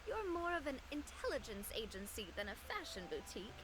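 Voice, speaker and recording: An actress voicing a spirited, warm-hearted young woman who leads a group, lively and quick to indignation. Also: sits high, background noise. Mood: cheerful.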